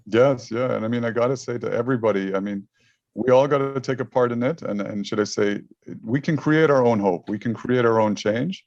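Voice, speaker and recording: A man's voice: medium pitch, a little fast, a little mellow, no room sound, muffled recording. A man speaking as an interview guest over a remote call, earnest and repeating his words as he talks. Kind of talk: interview, casual talk.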